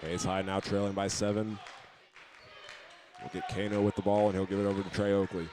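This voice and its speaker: A man's voice: on the low side, little pitch movement, brisk, noisy background. An American man giving live basketball radio commentary as a play-by-play announcer, narrating each move steadily and methodically. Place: basketball game in a gymnasium.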